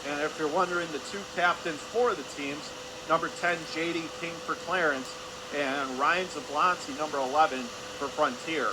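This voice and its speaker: A man's voice: a little high, normal speed. A man doing live radio play-by-play commentary of an ice hockey game, in clipped running phrases. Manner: clipped running phrases, calling action as it happens. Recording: clear steady arena noise behind voice. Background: hockey arena ambience.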